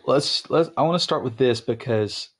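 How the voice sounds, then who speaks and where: A man's voice: medium pitch, moderate pace, breathy edge. A young man hosting a podcast, chatting casually with his wife, rambling with lots of ums and restarts. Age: young adult. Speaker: podcast host.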